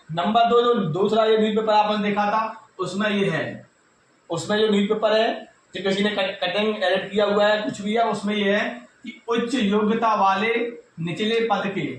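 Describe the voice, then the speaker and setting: Echoey high-pitched North Indian man explaining. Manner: repetitive, addresses listeners directly. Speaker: YouTube exam news presenter. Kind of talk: informal explanatory update to students. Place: reverberant indoor room. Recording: very echoey room, distant mic, faint noise.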